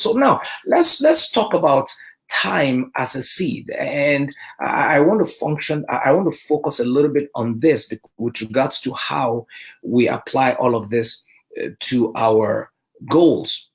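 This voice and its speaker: A man giving a motivational talk, emphatic, often slowing down to stress key points. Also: mid-range voice, creaky. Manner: emphatic, slows down for key points, restarts phrases. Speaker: motivational speaker.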